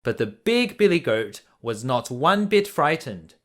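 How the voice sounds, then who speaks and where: Animated, unhurried male children's storyteller. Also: medium pitch. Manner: expressive read-aloud. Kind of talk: children's bedtime story narration.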